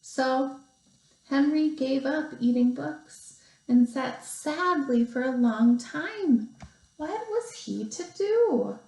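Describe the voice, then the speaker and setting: A woman teacher reading a picture book aloud to young children, expressively, with deliberate pauses and stretched emphasis. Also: sits high, lively intonation, creaky, darkish tone. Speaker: second grade teacher. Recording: roomy, echoey sound.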